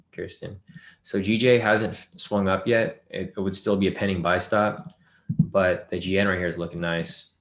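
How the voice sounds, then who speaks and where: A man's voice: low-pitched, a bit bright, reverberant. A man hosting a live trading webinar, talking through charts and chat questions loosely with many fillers, his voice worn from a long day of sessions. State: losing his voice. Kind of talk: live screen-share trading lesson.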